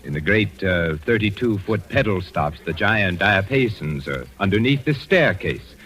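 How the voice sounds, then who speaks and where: An actor playing a courtly, cultured Victorian widower with a formal, effusive manner in 1940s radio drama style. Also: male voice, on the low side, lively intonation, moderate pace, fairly bright tone. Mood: rapturous, suave. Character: courtly, cultured Victorian widower obsessed with his pipe organ. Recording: old radio recording, faint music behind.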